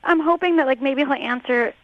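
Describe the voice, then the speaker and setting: Woman phoning into radio, high voice, chatty n hesitant, kinda lofi sound. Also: a little fast, no echo. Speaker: radio show caller. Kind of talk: casual chatty storytelling. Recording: dull, lo-fi phone-in sound.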